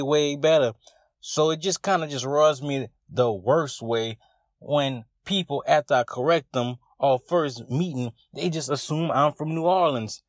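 A man's voice: medium pitch, no echo, clean studio sound. A young man from Southwest Louisiana with a Louisiana accent, ranting emphatically on a podcast in a drawling Southern way. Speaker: podcast co-host. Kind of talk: scripted rant segment.

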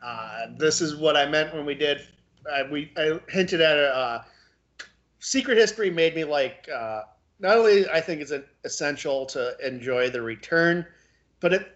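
A man co-hosting a podcast in casual discussion, speaking haltingly as he searches for his words. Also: a little high, expressive, a bit bright, dull audio. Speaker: podcast co-host.

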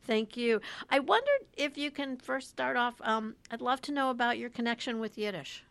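A woman's voice: a little high, expressive, moderate pace, creaky, fairly bright tone. A woman hosting a podcast, moving between scripted introduction and conversational interview questions.

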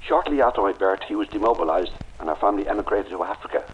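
An actor playing a wary, defiant rebel leader awaiting execution, with a clipped, bitter manner. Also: male voice, on the low side, lively intonation, very fast, lo-fi sound, slight background noise, lightly acted. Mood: guarded. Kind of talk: radio drama acting.

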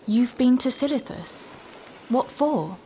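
A woman's voice: on the high side, expressive, takes it slowly, dark tone. A woman playing a thoughtful young novice adventurer, natural and conversational, in a fantasy audio drama. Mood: wondering. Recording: noticeable ambient background noise.

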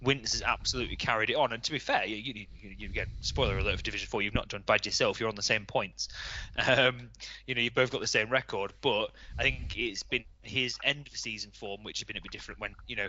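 A man's voice: mid-range voice, pushed and bright, lo-fi sound. A man chatting casually and in a blokey way as he hosts a podcast among friends. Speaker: host of a gaming league podcast.

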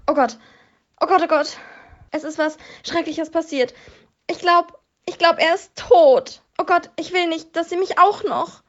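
A woman acts out a distraught young witness in an amateur radio play. Her voice is extremely high. She is melodramatic, repeating her words in distress. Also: a little slow, highs cut off. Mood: panicked.

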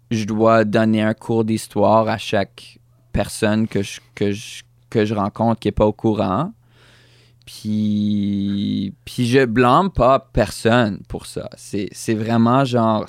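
A man's voice: fairly low pitch, level tone, slow pace, dry recording, studio quality. A young man with a Fransaskois French accent shaped by English, talking through an interview in a hesitant way, with many restarts and stray English words. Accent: Fransaskois French, influenced by English. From Saskatchewan, Canada. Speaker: guest musician. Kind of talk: casual interview chat.

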